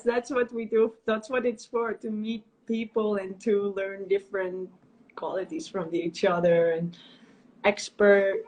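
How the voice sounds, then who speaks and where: A chatty Dutch woman asks friendly questions and laughs often as she talks. Her voice sits a bit high, and she comes through on a video call. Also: low-quality recording. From Netherlands. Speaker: host interviewer. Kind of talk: casual video-call chat.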